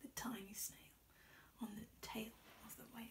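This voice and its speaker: A young woman reading a rhyming children's picture book aloud expressively, with measured, careful storytelling. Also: on the high side, flat delivery, distant mic, very noisy. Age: young adult. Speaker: storybook reader. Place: small indoor room. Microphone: camera a short distance away.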